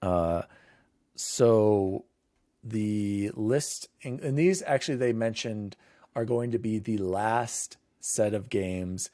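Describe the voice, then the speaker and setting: A man's voice: on the low side, slow, mellow, dry recording, clean studio sound. A man hosting a gaming podcast, chatting casually and reading out news lists in an informal, conversational way. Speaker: podcast host.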